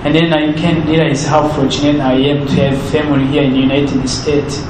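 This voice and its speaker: A young man in his twenties with a Rwandan accent, a native Kinyarwanda speaker, answering interview questions earnestly and haltingly, often repeating words as he searches for them. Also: on the high side, level tone. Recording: roomy with background noise.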